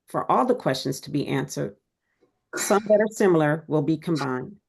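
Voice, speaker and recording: A woman moderating a candidate forum, reading the rules and introductions in a measured, formal, scripted way. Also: fairly low pitch, gravelly, darkish tone.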